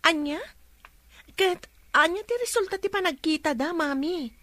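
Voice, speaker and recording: Playful, gossipy Filipina sister, radio serial; high voice, very dry recording. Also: female voice, normal speed, bright, forceful tone, no echo. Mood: curious. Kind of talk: Ilocano radio drama acting.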